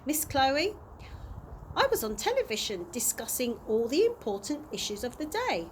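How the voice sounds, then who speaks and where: A woman's voice: sits high, sing-song, moderate pace. A woman reading the part of a cheerful, vain male tramp in a storybook, with polite, old-fashioned manners. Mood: pleased, chatty. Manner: polite, old-fashioned, grandly self-pleased. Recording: audible background noise.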